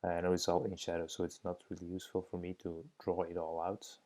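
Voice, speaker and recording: Low, dark-voiced Belgian man, hesitant. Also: little pitch movement. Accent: Belgian Dutch. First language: Dutch. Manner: hesitant, many fillers, thoughtful and unhurried. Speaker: drawing teacher. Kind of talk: voice-over commentary on a drawing video.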